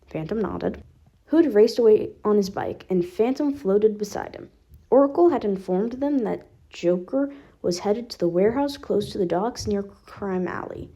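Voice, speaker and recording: Female story reader, medium register, dark mellow tone, scripted narration. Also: mid-range voice, animated, normal speed, breathy edge. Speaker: podfic reader.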